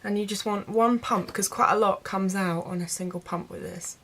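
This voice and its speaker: A woman's voice: medium pitch, steady tone, normal speed, fairly bright tone. A woman with a mid-range voice talks in the casual style of a beauty vlogger. She explains each step informally, addressing viewers directly as in a home-style tutorial chat.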